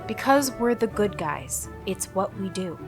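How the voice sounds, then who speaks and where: A woman's voice: medium pitch, lively intonation, a little slow, over music. A woman playing an earnest, wry superheroine in a radio play, with a determined manner. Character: earnest, wry young superheroine, bulletproof and dutiful.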